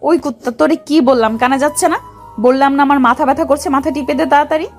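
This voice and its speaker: A woman's voice: high-pitched, fairly even intonation, fast. A woman playing a bossy, short-tempered young wife in an audio story. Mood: irritated. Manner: commanding, scolding. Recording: music behind the voice.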